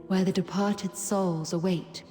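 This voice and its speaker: An actress voicing a serene, wise woman guide in a video game, speaking in a gentle, measured, philosophical manner. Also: medium pitch, fairly even intonation, lightly acted. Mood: solemn, mystical. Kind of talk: solemn video game dramatic monologue. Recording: echoey long reverb, background music.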